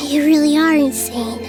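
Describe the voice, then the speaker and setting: Tiny girl goddess, very high voice, wise, gentle, earnest, game dialogue, soft music behind. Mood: exasperated. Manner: earnest, measured, gently insistent. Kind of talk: scripted video game dialogue.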